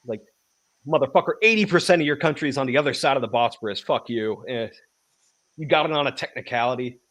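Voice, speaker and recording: A man's voice: medium pitch, fast, fairly bright tone. Rambling, swearing male storyteller. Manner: rambling storyteller with profanity and stumbles. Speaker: co-host. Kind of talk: casual chat.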